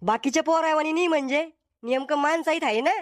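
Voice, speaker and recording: Very squeaky, blunt cartoon villager, voiced by a woman. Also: fairly quick, noisy background, natural voice. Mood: argumentative. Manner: blunt, bickering. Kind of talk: comedy cartoon dialogue.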